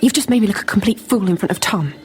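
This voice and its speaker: A woman's voice: medium pitch, lively intonation, fast talker, a little hiss or hum, lightly acted. An actress playing a tetchy, easily flustered, put-upon female education officer in a radio sitcom. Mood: annoyed.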